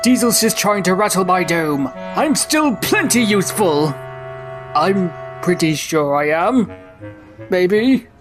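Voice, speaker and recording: Man acting a timid cartoon steam engine, weary, hesitant, stammering, unhurried, music underneath. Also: sits high, animated. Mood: anxious. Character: worried, timid, tired male steam engine. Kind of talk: cartoon-style character dub.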